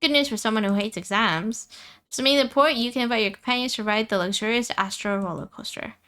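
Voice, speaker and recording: High-pitched small creature conductor, played by a woman, bright, cheerful, announcing, video game dialogue. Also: quick pace, natural voice. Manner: announcing, explanatory.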